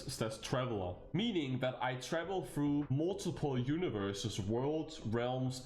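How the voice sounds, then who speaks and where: Chatty Danish man, lively swings in pitch. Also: echoey room. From Denmark. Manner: chatty, animated, jokey with bursts of laughter. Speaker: VTuber. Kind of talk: casual self-introduction video.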